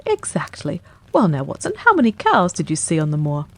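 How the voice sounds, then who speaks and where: A woman voicing a brisk, confident male detective in an audiobook reading, quick and emphatic. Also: deep voice, wildly swooping pitch, heavily acted. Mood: eager.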